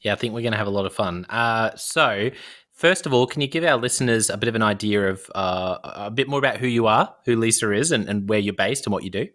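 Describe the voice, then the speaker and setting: A man's voice: fairly low pitch, quick pace, a bit bright. A man hosting a leadership podcast, upbeat and encouraging, with some fillers and restarts. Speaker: podcast host. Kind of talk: podcast interview and promo.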